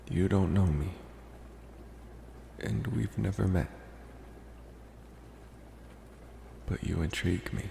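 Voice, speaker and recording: Deep gravelly gentle vampire played by a man. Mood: calm, mysterious. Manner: soft-spoken reassurance with lingering pauses. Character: gentle, smitten male college vampire, reassuring and mysterious. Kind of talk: slow, intimate ASMR roleplay. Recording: echoey, faint background noise.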